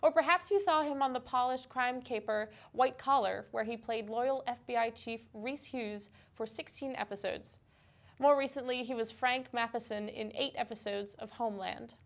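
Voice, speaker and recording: Higher-voiced woman co-anchoring news. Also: moderate pace, vocal fry. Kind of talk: scripted news reading with casual chat.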